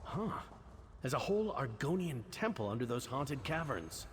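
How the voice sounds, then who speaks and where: A man's voice: mid-range voice, sing-song, normal speed, a little hiss or hum, natural voice. An actor playing a breezy, brave knight companion in a video game, commenting wryly on what he sees. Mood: intent, curious.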